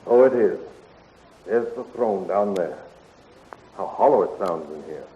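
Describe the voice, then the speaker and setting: An actor playing a bold, commanding young space hero in a 1930s radio adventure serial. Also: male voice, low-pitched, animated, unhurried, mellow. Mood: relaxed, affectionate. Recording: muffled, hissy 1930s radio recording.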